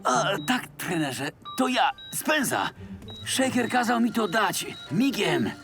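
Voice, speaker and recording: Cartoon sidekick detective: cheeky, self-important, hugely animated pitch, unhurried, music behind. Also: male voice, exaggerated voice. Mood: eager. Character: eager, cheeky male secret helper, self-styled detective. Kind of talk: cartoon dub acting.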